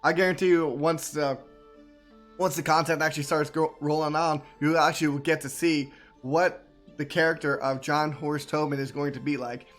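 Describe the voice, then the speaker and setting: A man with a somewhat high voice gives casual game commentary as a streamer. He rambles enthusiastically and repeats himself, with faint music underneath. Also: fairly even intonation, moderate pace, fairly bright tone.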